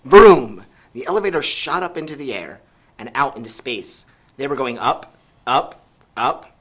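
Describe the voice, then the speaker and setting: A man reads a children's story aloud with expressive, animated narration. His voice is husky with a forceful edge, and his pitch moves up and down a great deal. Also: unhurried. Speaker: storybook reader.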